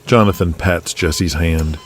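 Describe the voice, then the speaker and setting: A man's voice: sits low, fairly even intonation, takes it slowly, a little hiss or hum. A man giving dramatic scripted narration as the narrator of an audio drama. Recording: music under the voice. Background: music.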